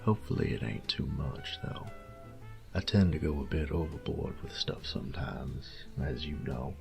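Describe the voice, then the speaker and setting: Man playing a low, creaky boyfriend. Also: expressive, moderate pace, music in the background, full character voice. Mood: tender, doting. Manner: drawling, teasing, tender, coaxing, with breathy near-whispers. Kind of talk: intimate scripted audio roleplay addressed to the listener.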